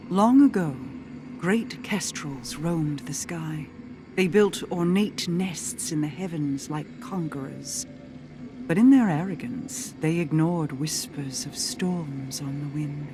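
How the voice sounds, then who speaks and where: Slow, regal storytelling queen. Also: sits low. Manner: measured, stately, deliberate. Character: regal, solemn queen telling an old fable. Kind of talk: scripted dramatic storytelling. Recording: game audio with clear background noise.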